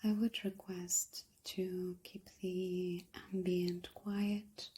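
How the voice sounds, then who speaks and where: Slow, hushed ASMR woman, soft-spoken. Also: lowish voice, little pitch movement. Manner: hushed, breathy half-whisper, gentle and deliberate. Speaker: ASMR host playing a librarian reading poems.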